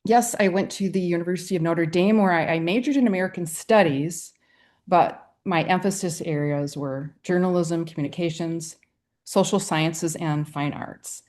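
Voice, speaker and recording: A woman's voice: lowish voice, normal speed, darkish tone. A middle-aged woman from Wisconsin, a poet and writer, answering interview questions in an earnest, reflective way. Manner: earnest and reflective, with light laughs.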